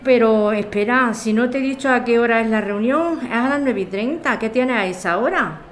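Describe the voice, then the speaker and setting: A woman's voice: on the high side, normal speed, natural voice. A woman with an accent from Spain acting an eager, concerned amateur sleuth in radio soap dialogue. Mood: insistent concern. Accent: Spanish.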